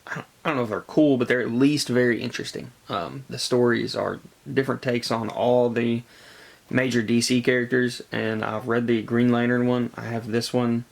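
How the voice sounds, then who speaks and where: A man with a congested, gravelly voice from a cold, chatting casually as he shows off a collection haul in a halting way. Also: mid-range voice, level tone. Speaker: comic book collector presenting a haul. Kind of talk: casual show-and-tell vlog chat.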